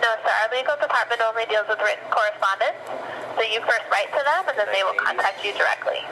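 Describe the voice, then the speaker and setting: A woman handles a customer service call in a fairly high voice at a brisk clip. She is formal and unbending, giving procedural explanations. The phone recording has clear noise behind her. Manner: formal, firm, repeats set explanations. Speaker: customer service agent in a fraud and limitations department.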